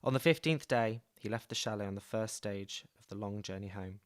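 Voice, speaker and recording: A man reading a novel aloud in a calm, even storybook manner. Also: fairly low pitch, fairly quick. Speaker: reader.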